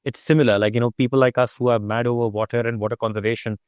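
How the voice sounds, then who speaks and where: A man's voice: mid-range voice, fairly even intonation, rapid-fire, a little mellow, smooth, dry recording, studio quality. A man co-presenting an online talk, explaining informally in an enthusiastic, discursive way over a video call. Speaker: guest co-presenter and trip guide.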